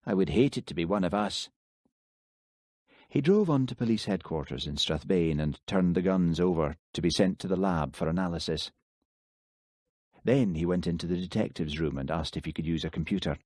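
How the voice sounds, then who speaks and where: A man reading an audiobook with steady, even narration. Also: low-pitched, brisk, no room sound. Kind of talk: scripted narration.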